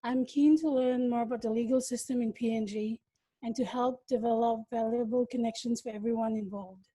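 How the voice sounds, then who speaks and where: A young woman from Papua New Guinea, a law student, introducing herself in a friendly, steady way. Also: a little high, brisk, dry recording, rough recording. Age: young adult. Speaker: law student, brand ambassador. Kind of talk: self-introduction in a webinar.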